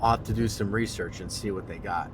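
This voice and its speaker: A man's voice: fairly low pitch, fast talker, background noise. A man with a congested, nasal voice, chatting in a joking, boastful way as a fitness vlogger while driving. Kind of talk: casual vlog while driving. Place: car.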